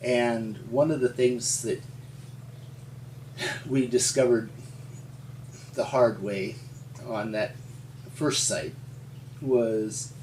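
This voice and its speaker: An older man from rural Montana with an American accent, telling his story in an interview in a halting, folksy, explanatory way. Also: mid-range voice, steady tone, darkish tone. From rural Montana, United States. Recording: roomy, distant mic with faint hum.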